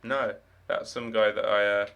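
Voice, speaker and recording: A man's voice: fairly low pitch, steady tone, normal speed, gravelly, a bit bright. A young man with no marked accent, chatting casually while live-streaming a game, rambling with many fillers and restarts.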